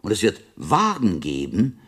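An actor playing a learned, visionary medieval friar and mentor, lecturing in a declamatory radio play style. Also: male voice, on the low side, huge pitch swings, unhurried, a bit bright, slightly rough, exaggerated voice. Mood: visionary, fervent. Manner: didactic, declamatory.

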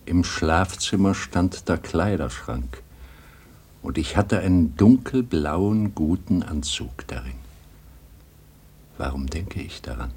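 An actor playing a weary, wistful old grandfather in a radio drama, with a husky voice and a brooding, halting delivery. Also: deep voice, lively intonation, darkish tone, exaggerated voice.

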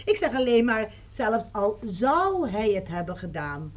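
A woman's voice: mid-range voice, big pitch swings, a little slow. An actress playing a talkative, defensive woman friend, chatting on the phone in a radio play. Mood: chattering. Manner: chatty, defensive, self-justifying.

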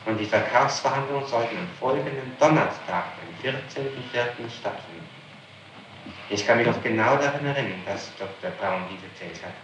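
A man's voice: medium pitch, lively intonation, normal speed, fairly bright tone. A man speaking as a public prosecutor in a formal interrogation, methodically and insistently laying out witness testimony. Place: reverberant room, archive tape recording. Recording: noisy, echoey 1960s interrogation tape.

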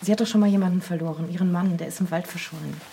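A pushy woman media reporter in a naturalistic radio drama. She talks quickly and presses her point with impatience, over a faintly noisy ambience. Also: fairly low pitch, fairly even intonation, creaky, mellow. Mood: insistent. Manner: impatient, insistent. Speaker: actor in a radio play.

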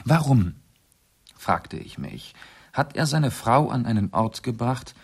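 A shrewd gentleman sleuth in a radio drama. The voice is gravelly and slightly husky, at a middling pitch. He lays out his reasoning deliberately, with urbane composure. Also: expressive, exaggerated voice. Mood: analytical, composed. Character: shrewd English gentleman detective, methodically explaining his deductions. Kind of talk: radio play acting, 1970s.

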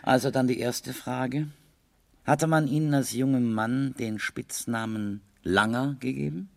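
An actor playing an authoritative, methodical police captain who questions formally and insistently in a 1970s radio crime play. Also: male voice, mid-range voice, unhurried, vocal fry, no echo. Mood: controlled, probing. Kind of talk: 1970s German radio crime drama acting.

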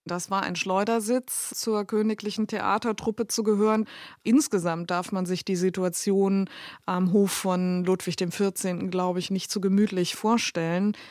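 A woman's voice: mid-range voice, steady tone, moderate pace, a little mellow, dry recording, clean studio sound. A woman giving explanatory expert commentary in an interview.

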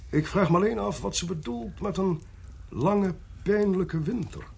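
An actor playing an inquisitive, genial amateur sleuth in a radio play, with a husky, gravelly voice and an expansive, teasing way of talking. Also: male voice, high voice, measured pace, darkish tone, exaggerated voice. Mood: musing, genial.

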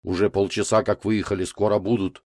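A man's voice: fairly low pitch, little pitch movement, fairly quick, a little mellow, dry recording, clean studio sound. A man voicing a fat, anxious garden cooperative chairman in an audiobook story, easily alarmed and conspiratorial. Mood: breathless, secretive.

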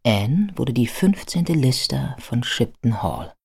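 A woman narrating a biographical radio play from a script in a measured, factual manner. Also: a bass voice, sing-song, gravelly, warm tone, breathy edge. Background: faint music.

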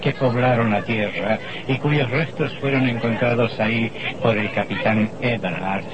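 A man's voice: mid-range voice, fairly even intonation, normal speed, crowd noise. A man narrating an old radio drama from a script in a solemn, dramatic, literary manner. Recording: muffled old radio recording.

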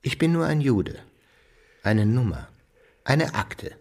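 A proud old-world maestro, bitter and sardonic. His husky male voice declaims with very animated pitch, in radio-play style. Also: takes it slowly, full character voice. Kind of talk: German radio play acting.

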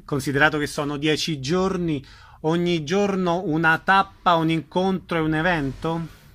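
A man's voice: on the high side, steady tone, moderate pace, fairly bright tone. A man conducting a conversational interview as the interviewer.

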